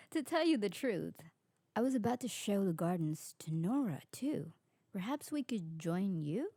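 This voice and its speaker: Woman acting husky, creaky vampire aristocrat. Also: medium pitch, sing-song, measured pace, mellow, dry recording, studio quality. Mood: playful. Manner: refined, formal, poetic. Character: elegant, sociable female vampire aristocrat. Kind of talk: scripted ASMR roleplay acting.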